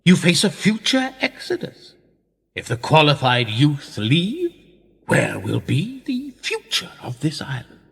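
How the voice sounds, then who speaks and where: Man acting a smug husky establishment schemer, reverberant. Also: on the high side, huge pitch swings, a bit bright, exaggerated voice. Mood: smug lecturing. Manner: measured, rhetorical, condescending. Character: older establishment schemer, smug, patronising, coolly manipulative. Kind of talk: radio play acting.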